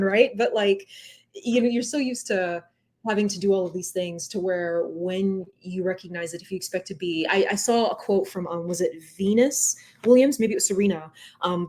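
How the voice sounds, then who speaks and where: A woman's voice: on the low side, darkish tone. A woman speaking as an interview guest, earnest and emphatic, explaining things reflectively and checking in with questions. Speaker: podcast guest, entrepreneur and nonprofit founder. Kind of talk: reflective conversational interview talk.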